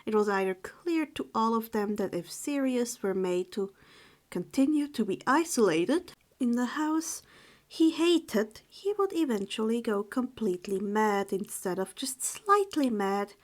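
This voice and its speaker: A woman speaking in a hushed, subdued voice, halting and correcting herself as she chats and reads stories aloud. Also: a little high, animated. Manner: halting, stumbling over words and correcting herself. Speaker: storyteller reading fan fiction aloud for a channel. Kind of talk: informal chat, then read-aloud narration.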